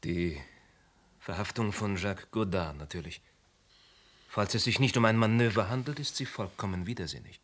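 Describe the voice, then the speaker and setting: An actor playing an indignant witness in a radio play, insisting firmly. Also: male voice, fairly low pitch, moderate pace, lightly acted. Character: indignant male witness, defending a young man.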